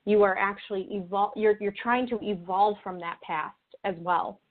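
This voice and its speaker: A woman's voice: mid-range voice, moderate pace. A woman interview guest explaining her views in an earnest, reflective, conversational way. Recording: tinny narrow-band phone or call line.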